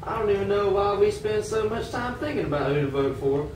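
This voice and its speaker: A man's voice: high-pitched, expressive, fairly quick, a little mellow. A man playing a disgruntled old-time American working man in an amateur puppet show. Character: disgruntled 19th-century American working man, sceptical of politics. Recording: echoey room, distant mic, faint noise.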